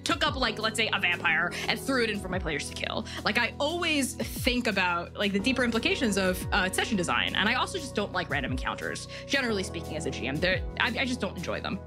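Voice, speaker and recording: A woman in casual, opinionated discussion as a podcast guest, speaking emphatically and in halting, hedged phrases. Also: mid-range voice, very animated, over music. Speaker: podcast guest, game master.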